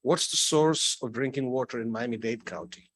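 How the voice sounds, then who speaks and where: A man's voice: mid-range voice, moderate pace, a bit bright. A man from Tanzania giving a conversational conference talk, with light jokes and frequent restarts. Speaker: conference speaker. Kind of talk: conference presentation. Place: conference hall.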